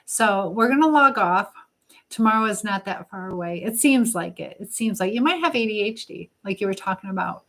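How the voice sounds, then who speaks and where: A middle-aged woman with a medium-pitched voice hosts a casual live chat. She talks in a motherly, advising way, reading messages aloud and wandering haltingly from one thought to the next. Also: expressive, fairly bright tone. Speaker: live stream host.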